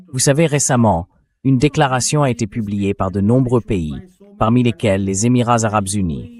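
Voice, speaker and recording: A man with a fairly low synthetic voice delivers translated commentary. He sounds like an interview guest explaining an argument in measured steps. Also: darkish tone. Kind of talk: translated political commentary voice-over read by synthetic voice.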